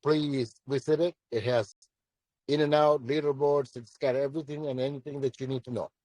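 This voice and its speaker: A man with a commanding voice fields questions in a live audio session. His tone is mellow and dark, and the sound is dry with no room echo. He speaks bluntly and repeats his points for emphasis. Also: medium pitch, steady tone, moderate pace. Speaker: project founder answering questions.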